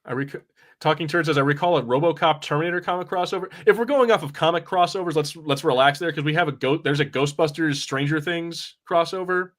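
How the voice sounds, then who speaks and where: A man's voice: fairly high pitch, quick pace, fairly bright tone. A man co-hosting a casual watch party podcast, reading out comments and talking in a rambling, self-correcting, sometimes profane way. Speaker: podcast co-host.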